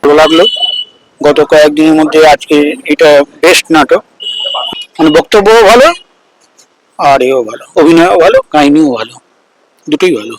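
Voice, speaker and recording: A man's voice: a little high, expressive, moderate pace, long pauses, poor audio. A man giving an appreciative audience review in a short interview. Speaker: audience member. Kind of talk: vox-pop review. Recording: faint background noise.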